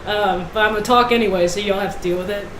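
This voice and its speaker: Brief: woman with a cold, phlegmy, wry punning guest, echoey room, clear hiss. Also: medium pitch, fairly even intonation, fast talker. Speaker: panel guest. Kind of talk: casual panel chat. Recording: roomy with audible hiss.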